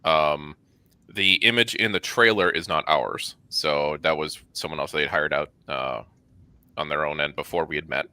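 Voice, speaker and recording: A man with a low-pitched, strikingly bright and forceful voice answers questions casually as an interview guest. The recording is dry and free of echo. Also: normal speed. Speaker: guest, comics and art studio member.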